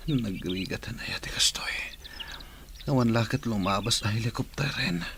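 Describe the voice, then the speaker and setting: A stranded village captain, male, talking in a steady and plain way with a somewhat low voice, as an actor plays him. The radio drama recording carries a clear hiss of noise beneath him. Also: normal speed, natural voice.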